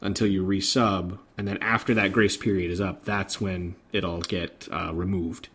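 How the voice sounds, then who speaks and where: A man's voice: low-pitched, flat delivery, normal speed, fairly bright tone, breathy edge. A man co-hosting a gaming podcast, explaining things in an easygoing, casual way. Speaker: podcast co-host.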